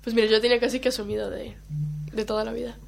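A woman's voice: on the high side, little pitch movement, racing, creaky, music in the background. A woman from Colombia whose first language is Brazilian Portuguese, chatting casually as a guest and laughing often. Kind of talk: casual chat.

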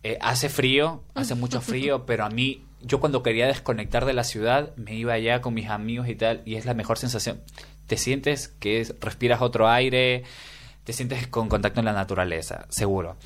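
A man's voice: mid-range voice, normal speed. A young man from Ecuador with an Ecuadorian accent, chatting casually as an interview guest, enthusiastic and often restarting his sentences.

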